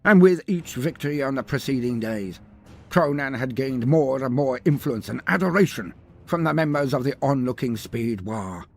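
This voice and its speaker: Man, husky, very animated pitch, dramatic scripted narration, grandiloquent, wry, music behind. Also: normal speed. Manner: theatrical, grandiloquent, with wry asides. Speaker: lore video narrator.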